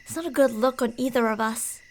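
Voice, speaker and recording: A woman playing a creepy, delusional stalker posing as a park ranger in an ASMR roleplay, teasing and falsely sweet, slipping between soft speech and whispers. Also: fairly high pitch, brisk, lightly acted. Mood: falsely sweet, menacing.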